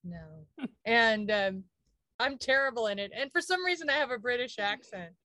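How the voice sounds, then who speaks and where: Woman co-host, animated and exasperated, slightly high voice, dry close mic. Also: normal speed, no room sound. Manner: animated, storytelling with exasperated asides. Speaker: podcast co-host. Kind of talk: casual chat.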